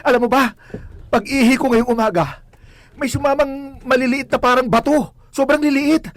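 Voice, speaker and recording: An actor playing a simple, eager young brother-in-law, hesitant and excitable, in a religious radio drama. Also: male voice, very high voice, moderate pace. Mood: excited, grateful.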